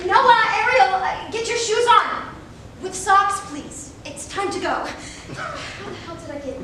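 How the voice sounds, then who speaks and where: A woman's voice: very high voice, animated, measured pace, a bit bright, heavily acted. An actress playing a frazzled, scolding mother hurrying her kids out the door in a live reader's theater performance. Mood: harried, exasperated. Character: frazzled single-minded mother hurrying two kids out to school. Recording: echoey hall from far off, background noise.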